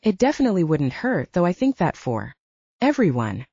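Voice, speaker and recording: A woman's voice: on the low side, very animated, moderate pace, warm tone, breathy edge, no echo, muffled recording, exaggerated voice. A woman voicing a jaded young male mercenary who talks bluntly and swears offhandedly.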